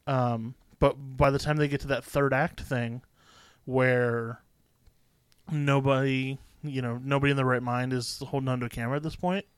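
Smooth laid-back man reviewing movies. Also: mid-range voice, steady tone, normal speed, dry recording. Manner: laid-back, rambling with fillers and restarts. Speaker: podcast co-host. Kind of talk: casual movie review chat.

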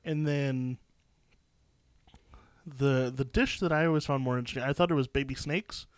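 A man in relaxed podcast chat, his voice nasal and stuffed up. He talks with dry, joking asides, and the sound is close and dry, with no room echo. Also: mid-range voice, moderate pace, smooth. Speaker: podcast co-host. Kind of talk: casual chat. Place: cold basement.

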